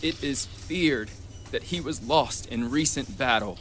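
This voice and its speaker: An actor playing a serious studio newsreader in a radio drama, relaying bulletins in a formal, telegraphic manner. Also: male voice, mid-range voice, unhurried, fairly bright tone, soft background music, natural voice. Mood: sober, concerned.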